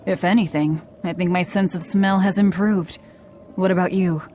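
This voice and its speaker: Woman as a cold demon girl, curt, guarded, lowish voice, video game voice. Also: fairly even intonation, normal speed, mellow, a little hiss or hum, natural voice. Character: cold, guarded young woman demon who devours foes. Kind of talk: video game cutscene acting.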